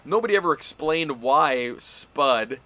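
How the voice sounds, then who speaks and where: A man's voice: a little high, animated, measured pace, sharp tone. A man co-hosting a casual chat, recapping events in a rambling way with plenty of fillers. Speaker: podcast co-host.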